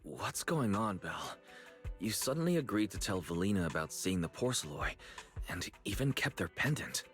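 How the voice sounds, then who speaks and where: A man's voice: on the low side, sing-song, normal speed. A man voicing an earnest, analytical young man in a video game. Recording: game audio under music.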